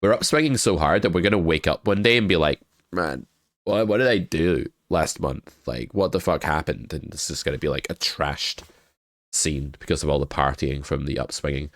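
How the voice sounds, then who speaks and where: A young man with a cold talks through poker hands in a loose, joking way, now and then swearing. His voice sits extremely low and sounds stuffed up. The recording is close and dry, made at a webcam desk setup. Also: moderate pace, no echo. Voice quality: very deep voice. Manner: chatty, joking, swears casually. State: congested with a cold. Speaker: poker coach. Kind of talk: casual poker hand review.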